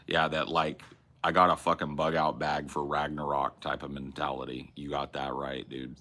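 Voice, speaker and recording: Low-voiced, profane male livestream host. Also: little pitch movement, moderate pace, pushed and bright. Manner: rambling, profane, restarts and self-corrections. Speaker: streamer host. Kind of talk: casual livestream chat reading viewer messages.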